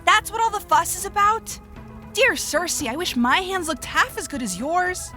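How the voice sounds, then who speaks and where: A woman's voice: very high-pitched, animated, moderate pace, pushed and bright, exaggerated voice. A woman playing a mischievous young witch in an audio drama, sarcastic and teasing. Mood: mocking, gleeful. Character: mocking, mischievous young female witch who teases. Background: music.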